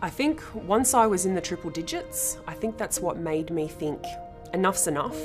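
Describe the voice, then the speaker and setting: A woman's voice: fairly low pitch, lively intonation, moderate pace. An Australian woman giving a candid, plain-spoken personal testimonial. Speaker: fitness challenge participant. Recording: clear background music.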